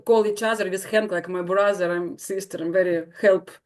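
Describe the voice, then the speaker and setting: A woman with a Ukrainian accent introduces herself informally in halting, simple English, with a cheerful manner. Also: medium pitch, steady tone, darkish tone. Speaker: children's house teacher.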